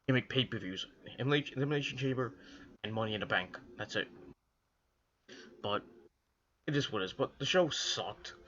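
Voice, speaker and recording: A hoarse man from the Northeastern US, coming down with a cold, rambling casually through a wrestling review with lots of restarts and fillers. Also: medium pitch, a bit bright, highs cut off, slight background noise. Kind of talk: casual solo commentary to camera.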